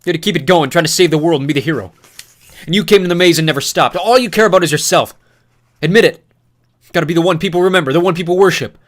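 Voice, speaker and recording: A man's voice: mid-range voice, quick pace, long pauses, natural voice. A man voicing a furious young man sliding into madness, ranting and screaming bitter accusations. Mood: raging. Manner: screaming, ranting, spitting rage.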